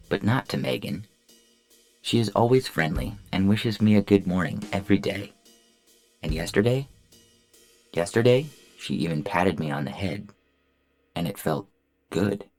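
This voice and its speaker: A man's voice: lowish voice, expressive, unhurried, gravelly, long pauses, a little mellow, pitched above the performer's own voice. A man voicing a shy, naive and earnest robot in a science fiction audio story, hopeful and lovestruck, speaking carefully with music underneath. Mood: dreamy, smitten. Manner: earnest, wide-eyed, careful wording without contractions.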